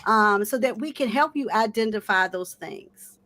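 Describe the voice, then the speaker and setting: A woman's voice: mid-range voice, moderate pace, pushed and bright. A woman hosting a panel discussion, asking earnest questions and often repeating words as she thinks. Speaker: host.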